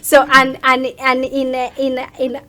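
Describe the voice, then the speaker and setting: A woman's voice: sits high, completely flat delivery, slow, pushed and bright. A woman with a Ugandan accent speaking casually as an interview guest, explaining things by acting out what others say. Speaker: interview guest, social media content creator.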